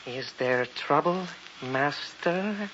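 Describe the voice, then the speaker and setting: An actor playing a submissive, halting servant with broken speech in an old-time radio drama, on a muffled recording. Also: male voice, fairly high pitch, lively intonation, fairly bright tone, a little hiss or hum, exaggerated voice. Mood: obedient. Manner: slow, halting, servile. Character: slow, submissive servant speaking broken English.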